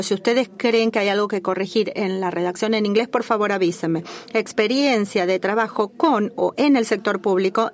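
A woman giving a simultaneous interpretation of a formal working-group call, speaking in a measured, formal way with small restarts. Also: medium pitch.

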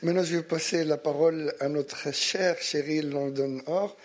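Higher-voiced man introducing a speaker. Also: steady tone. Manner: halting, with restarts. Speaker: meeting chair.

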